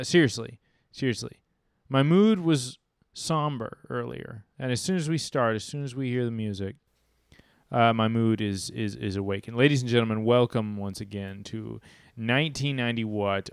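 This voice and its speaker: A young man hosts a casual podcast, holding a handheld mic. His voice is gravelly but smooth, and the sound is dry and close. He rambles, restarts and swears casually as he addresses the audience. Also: mid-range voice, no room sound. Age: young adult. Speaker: podcast host. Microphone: handheld mic with pop filter.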